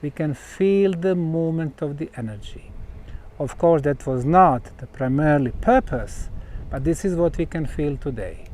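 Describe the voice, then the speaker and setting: A man gives an explanatory talk as a researcher on location, speaking deliberately in short phrases with pauses. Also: fairly high pitch, expressive, darkish tone, slight background noise. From Bosnia. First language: Bosnian. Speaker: researcher presenting a site.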